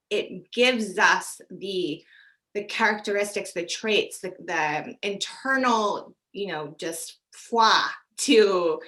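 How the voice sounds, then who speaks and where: Bright crisp-voiced woman hosting a podcast, chatty, lots of you know and like. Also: mid-range voice. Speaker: podcast host. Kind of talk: podcast interview.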